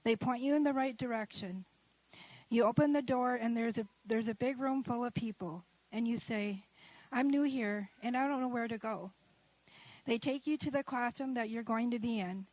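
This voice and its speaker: A woman's voice: a little high, moderate pace, a little mellow, no echo, poor audio. A woman who is an adult student, reading her own essay aloud at a graduation ceremony, carefully and with small restarts. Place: ceremony hall.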